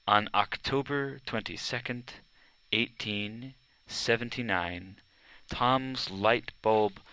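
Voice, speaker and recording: Slow, crisp-voiced man reading a storybook. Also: lowish voice, bright, forceful tone, no echo, poor audio. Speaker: audiobook narrator. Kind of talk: scripted narration of a children's biography.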